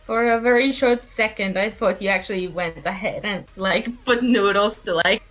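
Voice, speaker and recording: A woman's voice: medium pitch, normal speed, fairly bright tone, soft background music, rough recording. A young woman co-hosting a drawing stream, chatting casually on a call and laughing and teasing often. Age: young adult. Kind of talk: casual chat.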